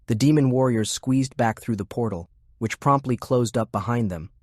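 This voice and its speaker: A man reading scripted fiction as an audiobook narrator in a measured, descriptive manner. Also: medium pitch, darkish tone, dry recording, studio quality.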